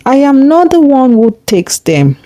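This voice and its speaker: Woman narrator as river spirit mother, gentle yet commanding, solemn, slow, mellow, storybook. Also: high-pitched, sing-song, no echo, clean studio sound, voice pushed up. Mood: grave warning. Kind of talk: storybook character reading.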